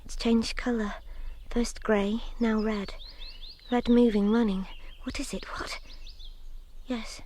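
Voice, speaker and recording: An actress playing a stunned young woman in a radio drama, recounting a horror in short, hushed, halting phrases. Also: a little high, level tone, a little hiss or hum. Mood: dazed, hushed.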